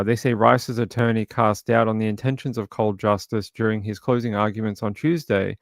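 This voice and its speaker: A man hosting a true crime livestream, talking casually and reading chat, his voice crackly and gravelly, halting with fillers and restarts. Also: mid-range voice, no room sound, studio quality.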